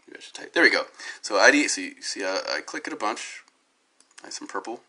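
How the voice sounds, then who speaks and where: Man, hoarse from a cold, bright edgy voice, rambling phone commentary, lots of ums and restarts. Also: on the low side, lively intonation, fairly quick. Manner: tangential, many fillers and restarts. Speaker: DJ giving his side of a dispute. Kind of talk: rambling on-camera commentary while browsing web pages. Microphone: phone held up at arm's length.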